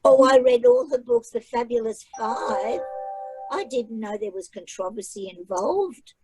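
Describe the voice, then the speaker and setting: A woman's voice: a little high, animated, measured pace, over music, low-quality recording. A woman author who learned English as a second language, speaking in a chatty, emphatic way and often repeating phrases for effect, over a video call. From migrated to Australia at age nine. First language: not English. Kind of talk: casual online library talk.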